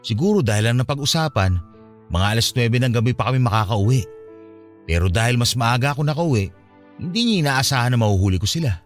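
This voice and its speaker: Actor as earnest young man, low confiding voice. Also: expressive, fast, close to an everyday voice. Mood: confiding, troubled. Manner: conversational, confessional. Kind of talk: radio drama dialogue. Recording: music behind the voice.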